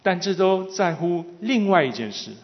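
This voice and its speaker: A man's voice: high-pitched, animated, normal speed. A man interpreting a sermon consecutively, delivering it phrase by phrase. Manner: follows the preacher phrase by phrase. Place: large reverberant hall. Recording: dull, reverberant large hall sound.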